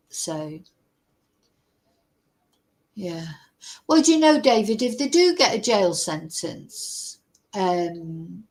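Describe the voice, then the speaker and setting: A woman's voice: mid-range voice, a little mellow, poor audio. A woman hosting a casual true crime chat, reading out news reports and adding her own asides. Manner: slow, chatty, reads news text aloud with asides. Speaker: true crime channel host. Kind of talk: casual commentary over a live news stream.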